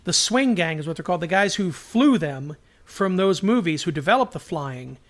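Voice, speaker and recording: A man's voice: sits high, lively intonation, moderate pace. A man co-hosting a podcast in casual fan discussion, speaking emphatically and with strong opinions, often in anecdotes. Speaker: podcast co-host. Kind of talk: casual enthusiast discussion about TV shows.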